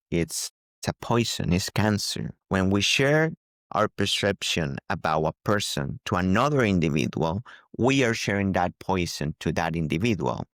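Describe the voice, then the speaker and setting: A man's voice: deep voice, no echo, clean studio sound. A man giving an earnest, instructive spiritual talk as a teacher, often repeating phrases for emphasis.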